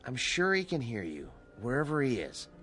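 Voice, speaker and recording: An actor playing a calm, authoritative doctor giving orders in a radio drama. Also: male voice, medium pitch, moderate pace, soft background music. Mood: firm.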